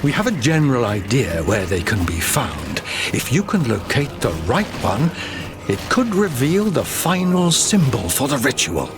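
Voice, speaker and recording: An actor playing a courteous, scholarly older male professor in a game, giving formal, measured briefings. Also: mid-range voice, very animated. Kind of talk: game cutscene voice acting. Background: game music.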